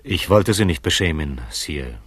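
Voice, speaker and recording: A grave, virtuous counsellor to a king in a radio drama. His low voice is husky, with a measured, deferential manner and a dry sound. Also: animated, fairly bright tone, dry recording, exaggerated voice. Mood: grave, restrained. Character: grave, virtuous, self-denying male royal counsellor. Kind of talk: 1970s German radio play acting.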